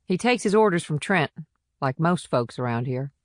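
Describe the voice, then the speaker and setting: A woman plays a small-town policewoman in a thriller audiobook. Her voice is low, frank and composed, with matter-of-fact delivery and a dry, close sound. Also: expressive, normal speed, no echo. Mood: frank, wry. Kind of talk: audiobook character dialogue.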